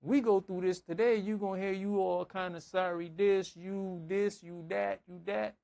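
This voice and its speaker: Man, preacher, slightly high-pitched, conversational sermon, emphatic, repeats phrases for weight. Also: little pitch movement, normal speed, creaky, darkish tone. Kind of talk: conversational sermon with scripture reading and anecdotes.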